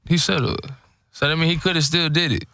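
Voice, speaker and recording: A man's voice: fairly high pitch, fairly even intonation, brisk, bright, forceful tone, no echo. American male co-host, casual and slangy, blunt interjections, very dry room sound. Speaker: podcast co-host. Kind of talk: casual sports and gossip chat.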